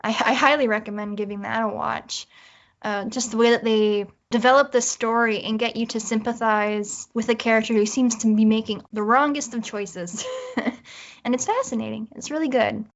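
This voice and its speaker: A woman with a fairly high voice speaks as a guest in a casual interview. Her way of talking is effusive and emphatic, and the sound is a little dull and lo-fi. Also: fairly even intonation, moderate pace. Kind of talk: casual chat.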